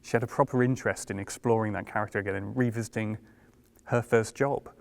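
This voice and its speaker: A British man, a producer and director, telling anecdotes in a measured, casual way. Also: on the low side, fairly even intonation, gravelly. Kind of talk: casual conversation.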